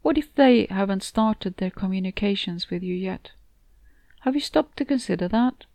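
Woman voicing a gentle, reassuring male storybook cat. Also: mid-range voice, expressive, normal speed, no echo, lightly acted. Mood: gentle, hesitant. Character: hesitant, reassuring male talking cat, cheekily fond of treats. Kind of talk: storybook character dialogue.

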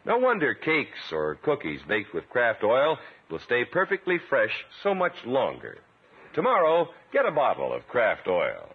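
A man with a husky voice announcing in a 1950s radio style, with an emphatic salesman's delivery. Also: mid-range voice, huge pitch swings, a little slow. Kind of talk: 1950s radio commercial and scripted narration. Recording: tinny old radio recording.

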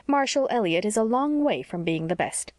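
A woman voicing a blunt, sharp-tongued older spinster in an audiobook story, with a brisk, scolding manner. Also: fairly high pitch, lively intonation, no echo, studio quality, pitched above the performer's own voice, close to an everyday voice. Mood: tart.

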